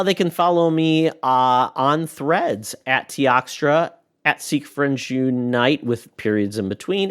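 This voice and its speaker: A man's voice: medium pitch, no room sound, studio quality. A man co-hosting a podcast, chatting casually and hesitating often with fillers. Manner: hesitant, lots of uhs. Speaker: podcast co-host. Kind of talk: casual chat.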